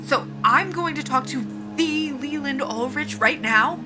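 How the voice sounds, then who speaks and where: An actress playing an earnest, driven young military pilot at a job interview, halting and anxious, in a cinematic audio drama. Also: female voice, sits high, music in the background. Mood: flustered, eager. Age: young adult.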